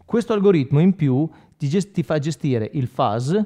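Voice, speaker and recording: A man's voice: fairly high pitch, expressive, normal speed. A man, explaining things informally in a conversational tutorial manner, often checking in with the listener. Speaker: guitar instructor and product demonstrator. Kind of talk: informal video tutorial, explaining between guitar demos. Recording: dull lo-fi sound, loud guitar playing. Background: loud electric guitar with effects.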